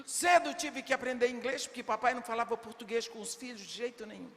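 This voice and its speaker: An older woman preaching a sermon to a women's congress, emphatic, with rhetorical questions and bursts of shouting. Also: on the high side, fast talker. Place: large church hall. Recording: long reverb of a big hall.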